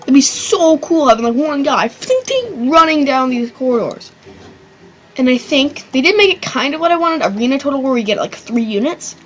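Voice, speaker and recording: A woman hosting her own channel, giving loose, excitable commentary on a strategy game battle, rambling and swearing now and then. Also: high-pitched, normal speed, a little hiss or hum. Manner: rambling and excitable, swears, does silly voices. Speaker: gaming channel host.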